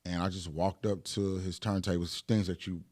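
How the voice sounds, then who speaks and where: A man's voice: low voice, flat delivery, normal speed, darkish tone, no room sound, clean studio sound. A man in his thirties with an Atlanta accent, telling stories as a guest in a casual podcast interview, laid-back with lots of fillers. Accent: Southern US, Atlanta. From Atlanta, Georgia. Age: 30s. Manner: laid-back storytelling with frequent fillers and laughs. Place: podcast studio.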